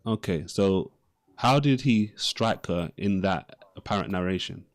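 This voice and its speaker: A man with a fairly low voice in a live religious debate. He interrogates persistently, circling back to the same question and pressing for a direct answer. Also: lively intonation, unhurried.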